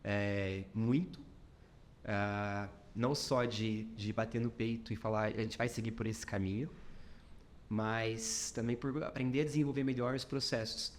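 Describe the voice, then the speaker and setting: A man's voice: lowish voice, steady tone, measured pace, a little hiss or hum. A man with a Minas Gerais accent, a company founder being interviewed on a podcast, speaking reflectively with many fillers and short clauses. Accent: Minas Gerais Brazilian Portuguese. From Brazil.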